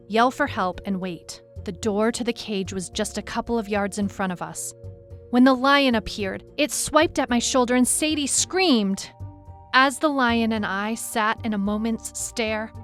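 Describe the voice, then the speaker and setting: A woman playing a tough, weary, skeptical detective who narrates her case in a measured, dramatic, reflective way. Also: a little high, a bit bright. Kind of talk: scripted first-person story narration. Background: music bed under the voice.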